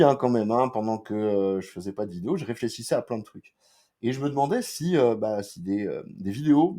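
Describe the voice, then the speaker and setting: A man's voice: medium pitch, warm tone. A man from France giving a casual, rambling comics review, full of fillers, slang and swearing.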